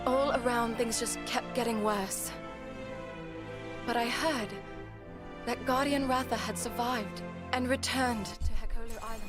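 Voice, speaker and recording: A woman acting as an earnest young female guide from a fantasy game speaks gently in a high, unhurried voice. Loud music swells behind her. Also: fairly even intonation, lightly acted. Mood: wistful.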